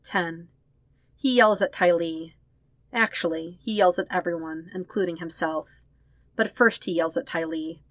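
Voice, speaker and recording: A woman narrates a piece of fiction in a slightly low register. Her delivery is expressive, putting weight on emotional words. The recording is dry, with hardly any room sound around the voice. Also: a little slow, dry recording. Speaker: fan fiction podfic reader. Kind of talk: scripted story narration.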